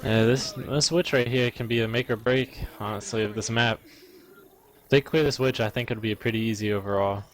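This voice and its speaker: A man's voice: mid-range voice, steady tone, brisk. A man co-casting a video game match with casual analysis and tentative, conversational explanations. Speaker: esports co-caster.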